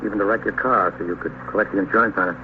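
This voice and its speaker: Low, quick-talking police lieutenant, played by a man. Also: steady tone, lightly acted. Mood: pressing. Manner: matter-of-fact, clipped, insistent questioning. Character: calm, persistent police lieutenant questioning a suspect. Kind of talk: 1950s radio police drama acting. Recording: tinny, noisy vintage radio recording.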